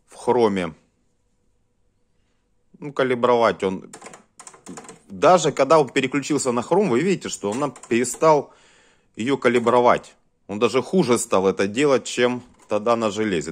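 Man in lively, halting casual commentary. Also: medium pitch. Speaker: host of a cassette deck test vlog. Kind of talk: casual hands-on demonstration commentary.

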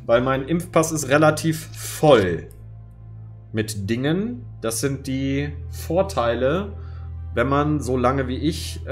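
Male streamer, 40s, from East Westphalia; casual, filler-heavy play-by-play over soft music. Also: medium pitch. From East Westphalia, Germany. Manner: thinks aloud with many fillers. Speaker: streamer and co-host. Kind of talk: casual let's-play chat. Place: home room.

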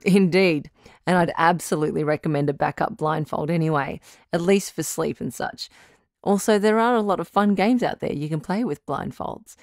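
A woman's voice: low voice, moderate pace, no echo, clean studio sound. A woman playing a frank, reassuring doctor who hosts a radio call-in advice show, encouraging and matter-of-fact in a conversational style. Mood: upbeat, encouraging.

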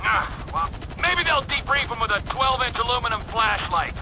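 A man's voice: extremely high pitch, animated, normal speed. A man voicing a mocking, cynical criminal pilot in a video game with sarcastic delivery. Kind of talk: video game action voice acting. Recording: helicopter and gunfire noise behind.

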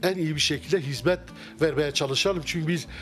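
A middle-aged man with a slightly high voice talks through a handheld mic in a wood-paneled restaurant. He answers questions haltingly, full of fillers and restarts. Soft music plays faintly underneath. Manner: hesitant, many fillers and restarts. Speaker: restaurant owner. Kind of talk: casual interview. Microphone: handheld mic held by interviewer.

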